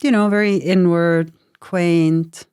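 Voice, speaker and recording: A woman's voice: fairly low pitch, steady tone, takes it slowly, a little mellow, clear, resonant tone, no room sound, studio quality. Frank Swiss-raised woman in her forties. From Switzerland. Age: 40s. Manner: frank, uses fillers like "you know" and "right". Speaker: guest, AI lead in pharma. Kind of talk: casual interview conversation.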